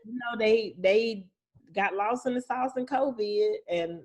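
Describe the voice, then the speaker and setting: A woman's voice: a little high, unhurried, darkish tone. A woman speaking as a guest property manager, explaining things casually in an informal, reassuring, plain-spoken way.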